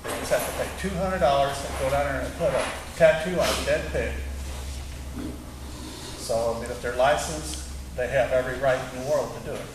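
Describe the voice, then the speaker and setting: A man's voice: medium pitch, brisk. A man running for mayor, giving blunt, short answers at a town debate. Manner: blunt, terse. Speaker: mayoral candidate. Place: community center hall. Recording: echoey hall from far off, noisy.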